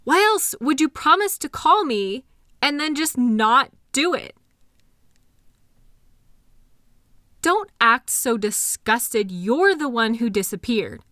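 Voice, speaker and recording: Hurt, mistrustful girlfriend voiced by a woman for relationship audio drama: very lively intonation, close dry sound, anxious and accusing. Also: fairly high pitch, no room sound, full character voice. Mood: spiraling, accusing. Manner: emotional, accusatory, halting. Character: hurt, anxious girlfriend with trust issues, arguing with partner. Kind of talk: intimate roleplay audio drama.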